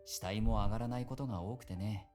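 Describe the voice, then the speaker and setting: A man voicing an easygoing, gently teasing policeman and mentor in a visual novel. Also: fairly low pitch, very fast, faint music behind, lightly acted. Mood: matter-of-fact. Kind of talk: Japanese visual novel voice acting.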